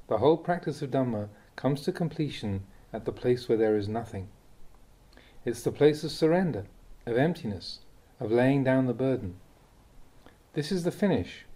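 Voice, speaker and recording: A man reading Buddhist teachings aloud as a scripted narration, in deliberate, short phrases. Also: mid-range voice, dark tone. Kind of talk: scripted audiobook reading of a Dhamma talk.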